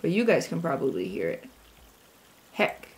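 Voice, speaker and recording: A woman's voice: low voice, huge pitch swings, normal speed. A young woman streaming from her home room with a sore voice, chatting playfully with her viewers while she plays a game. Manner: chatty, playful, talks to chat. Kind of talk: casual live-stream chat while gaming. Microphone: desk mic set back.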